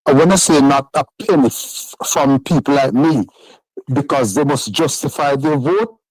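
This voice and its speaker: A man's voice: medium pitch, moderate pace, darkish tone, breathy edge, dry recording, rough recording. A man from Jamaica with a Jamaican accent calling in to a talk show and voicing indignant complaints. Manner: indignant, lists grievances. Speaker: caller. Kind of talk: call-in complaint.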